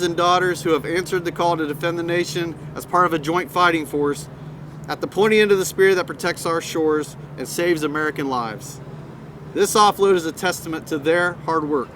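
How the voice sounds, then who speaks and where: A Coast Guard captain delivering a formal, measured prepared statement at an outdoor press event. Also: male voice, on the high side. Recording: outdoor traffic noise.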